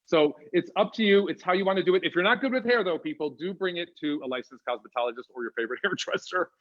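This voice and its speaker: A man's voice: high voice, fast, fairly bright tone, dull audio. A man with an American accent co-hosting a casual live wig demo over a video call, chatty and upbeat, greeting viewers by name.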